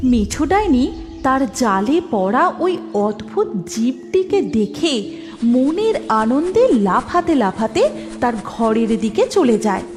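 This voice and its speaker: A woman's voice: high voice, very animated, unhurried. A woman narrating a scripted children's fairy tale as a storyteller. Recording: long reverb, music behind.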